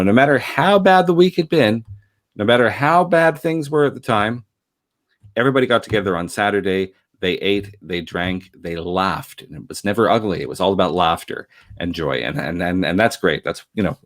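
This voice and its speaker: A man's voice: on the low side, animated, moderate pace, no echo, studio quality. A man from Canada chatting casually in an effusive, rambling way, often repeating words as he goes. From Canada, grew up in Montreal. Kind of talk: casual conversational chat.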